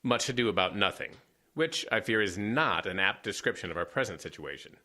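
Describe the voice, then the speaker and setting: A man's voice: lowish voice, brisk. An actor playing a skeptical former lawman in an audio drama, ironic and bookish. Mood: wry. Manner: ironic, lively, quotes Shakespeare.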